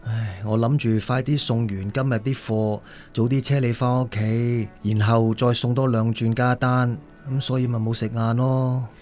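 A man's voice: mid-range voice, steady tone, normal speed, hardly any pauses, darkish tone, natural voice. Apologetic Cantonese working dad played by a man. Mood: weary, resigned. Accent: Hong Kong Cantonese. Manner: apologetic, hesitant, sighing. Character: hardworking, devoted, apologetic working-class father. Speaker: radio drama actor. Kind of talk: radio drama acting.

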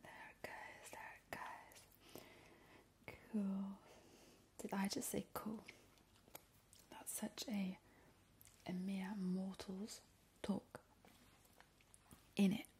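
A woman whispering softly as a playful, sinister vampire hostess in an ASMR roleplay, calling the listener darling. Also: mid-range voice, flat delivery. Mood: teasing. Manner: soft unvoiced whisper, slow and teasing. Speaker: ASMR host. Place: indoors at a kitchen table, making a Halloween treat. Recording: close whisper with loud crinkling wrappers and clicks. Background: crinkling packaging and clicks.

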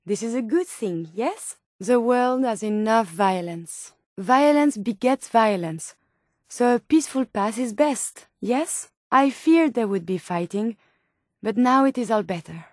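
A woman's voice: fairly high pitch, expressive, unhurried, darkish tone, no echo, clean studio sound. An actress voicing a polite, earnest, curious female medical robot companion in a video game, with formal, precise, quaint phrasing. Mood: gentle, pleased.

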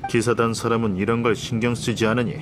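A man's voice: medium pitch, flat delivery, quick pace, background noise, natural voice. A male actor playing a haughty, condescending nobleman in a video game dub, speaking in a pompous, formal aristocratic way. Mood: assured. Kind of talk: dubbed video game dialogue.

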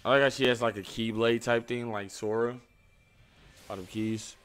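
A man's voice: medium pitch, steady tone, normal speed, a bit bright, clean tone. A young man hosting a reaction video, talking casually and offhand as he comments on what he is watching. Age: young adult.

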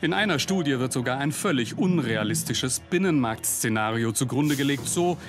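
A man's voice: medium pitch, lively intonation, normal speed. A man reading a scripted investigative news report as a voice-over, with pointed, ironic emphasis. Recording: narration over loud music bed.

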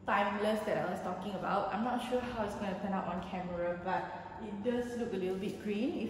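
A woman's voice: medium pitch, far from the mic, background noise. A woman from Malaysia talks her way through a house renovation tour, explaining as she goes with plenty of "um"s. Her voice rings around bare, empty rooms with a long tail, and she sits a little away from the camera. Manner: chatty and explanatory, with frequent "um" and gesturing. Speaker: home renovation vlogger. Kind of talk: casual house tour walkthrough. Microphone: handheld camera at a few feet. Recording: echoey empty rooms, voice a bit off-mic.

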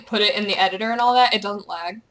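A young woman chatting casually while gaming, excitable and playful, with goofy exclamations and bits of on-screen text read aloud. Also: mid-range voice, fast. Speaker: gamer, video creator. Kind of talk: casual gameplay chat, reading quest captions aloud.

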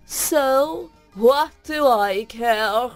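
A woman voicing a menacing, boastful male dragon in a children's story, loud and drawn-out in delivery. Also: a little high, faint music behind, pitched above the performer's own voice. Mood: smug, threatening.

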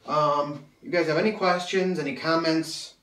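A man's voice: a little high, fairly even intonation, moderate pace, a bit bright. Nasal young man, echoey small room. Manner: enthusiastic, rambling, many fillers and restarts. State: slightly nasal, coming off a cold. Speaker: sword collector reviewing blades. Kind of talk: casual video review. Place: small room full of swords, indoors. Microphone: camera mic from several feet away. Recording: roomy echo, mic at a distance.

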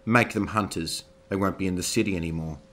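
A man's voice: deep voice, fairly quick, fairly bright tone. A man voicing a composed male commander arguing on the prisoners' behalf. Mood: persuasive.

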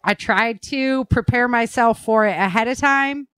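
A woman speaks as a podcast host at a slow, unhurried pace, in a close, dry recording. Her delivery is informal and slightly halting, and she leans on words for emphasis. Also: a little high, a bit bright, clean tone, no room sound. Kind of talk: casual podcast chat.

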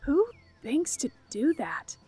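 Young captive islander, audio drama female actor: curt, guarded, high voice over faint music. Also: big pitch swings, slow, mellow, no echo, full character voice. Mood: challenging. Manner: curt, challenging.

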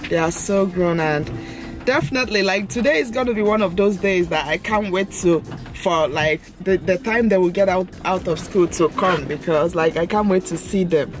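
A woman in her thirties, a mom vlogger, chatting heartfelt and casual to her viewers with lots of filler words, filmed on a camera held at arm's length. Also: lowish voice. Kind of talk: casual vlog talk to viewers.

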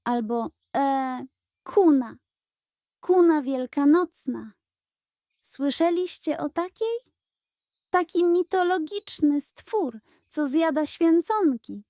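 A woman's voice: sits high, animated, takes it slowly, warm tone, no room sound, studio quality, pitched above the performer's own voice, heavily acted. A woman voicing a greedy male pug in a children's story, pompous and unctuous, faking noble piety. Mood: evasive, bluffing.

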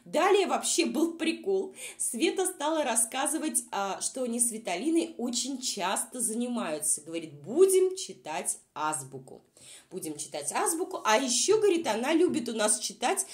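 A woman's voice: fairly high pitch, big pitch swings, normal speed. A woman vlog reviewer who is unwell, giving informal, exasperated and sarcastic commentary. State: unwell, says voice is nearly gone. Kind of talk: informal mocking commentary over video.

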